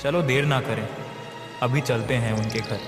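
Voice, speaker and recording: A man's voice: medium pitch, normal speed, lightly acted. A man voicing a distressed, respectful village man in a cartoon, earnest and pleading. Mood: troubled. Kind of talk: Hindi moral story cartoon dub. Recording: echoey reverb with clear background music.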